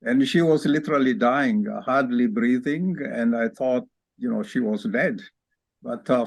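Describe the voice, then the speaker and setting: A man from Kenya, an orthopedic spine surgeon, telling his life story in an interview over a video call in a reflective, unhurried way. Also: fairly high pitch, vocal fry, dry recording.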